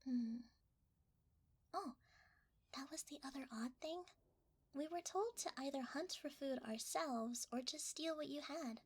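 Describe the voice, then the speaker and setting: A woman's voice: sits high, unhurried, pauses often, a little mellow. A woman voicing a shy, cuddly shapeshifting creature in an ASMR roleplay, hesitant and easily flustered, often half-whispering. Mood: soft, playful.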